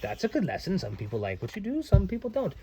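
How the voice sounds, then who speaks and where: A man's voice: high voice, huge pitch swings, fast, creaky, background noise. A man reading aloud to children as their dad, with playful, folksy, chatty asides.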